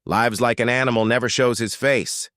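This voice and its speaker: A man's voice: mid-range voice, fairly even intonation, moderate pace, a bit bright, no echo, studio quality, natural voice. A British man voicing a coarse, mocking village labourer who gossips in a muttering, sneering way.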